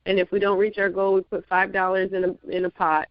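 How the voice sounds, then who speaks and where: Relaxed lady publisher on a talk show, mid pitch, kinda muffled. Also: fairly quick, dry recording. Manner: friendly, relaxed, light laughs. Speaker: magazine publisher guest. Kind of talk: casual interview chat. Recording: dull lo-fi sound, dry.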